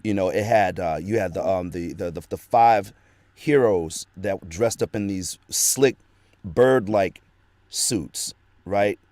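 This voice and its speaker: A man's voice: deep voice, slow pace, a little mellow, no echo. A middle-aged man, a voice actor, chatting casually as an interview guest, telling nostalgic stories in a rambling way with many restarts.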